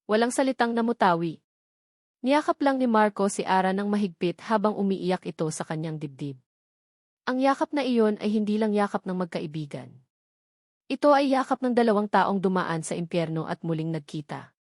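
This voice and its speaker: A woman's voice: mid-range voice, expressive, normal speed, darkish tone, no room sound. Woman narrating a romance, dry close sound. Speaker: story narrator. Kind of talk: dramatic scripted romance narration.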